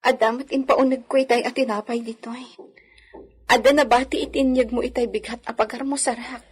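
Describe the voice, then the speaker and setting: A woman with an Ilocano accent playing a gentle, neglected young lady of a hacienda in a radio drama. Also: a little high, steady tone, a little fast, breathy edge. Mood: hurt.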